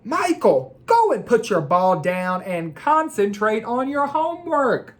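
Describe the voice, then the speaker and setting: Strict, high-pitched storybook mother, voiced by a woman reader. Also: big pitch swings, measured pace. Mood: scolding. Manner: firm, emphatic commands. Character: strict, no-nonsense mother of a schoolboy. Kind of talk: storybook read-aloud character voice.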